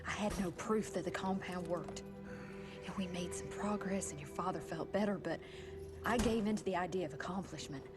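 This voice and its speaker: A woman plays a regret-laden, earnest scientist in a video game cinematic. Her voice is on the low side and subdued, confessional in delivery, with clear music behind it. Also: normal speed, close to an everyday voice. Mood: regretful.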